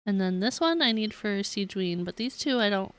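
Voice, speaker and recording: A woman's voice: medium pitch, lively intonation, moderate pace. A woman streaming a video game and chatting casually with viewers in a rambling, giggly, filler-heavy way. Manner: rambling, giggly, hedging with frequent "like". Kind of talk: casual live-stream chat while playing a game. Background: game music.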